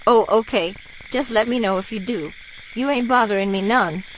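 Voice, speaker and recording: A woman's voice: mid-range voice, normal speed, slight background noise, natural voice, low-quality recording. A woman playing a folksy, helpful bayou neighbor with a Southern accent in a radio drama. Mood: neighborly.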